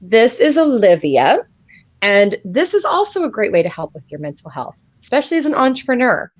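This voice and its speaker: A woman's voice: fairly high pitch, sing-song, fairly quick, dry recording, highs cut off. A woman hosting a live interview, chatty and affirming. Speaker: host.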